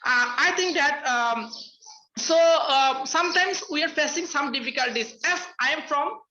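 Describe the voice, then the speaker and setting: A young woman with a Bangladeshi accent, Bengali her first language, speaks on a webinar. Her voice sits high, and she halts often, filling gaps with uh and um. The call audio sounds muffled and lo-fi. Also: normal speed, sharp tone. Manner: hesitant, many uh and um fillers, restarts. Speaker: webinar panelist. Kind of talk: halting spoken remarks in a webinar. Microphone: video call.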